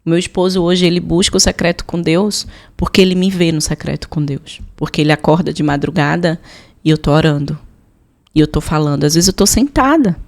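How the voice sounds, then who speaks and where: A woman's voice: low voice, brisk. A woman with a Brazilian accent telling personal testimony as a podcast guest, emphatic, repeating phrases and voicing her own prayers.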